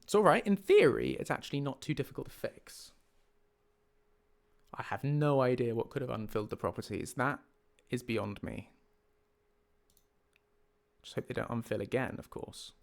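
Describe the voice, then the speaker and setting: A man's voice: medium pitch, moderate pace, gravelly, long pauses. A man hosting a livestream as a game mod developer, talking casually and muttering to himself as he works through problems. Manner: thinking aloud, muttering to himself. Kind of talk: casual livestream talk while troubleshooting.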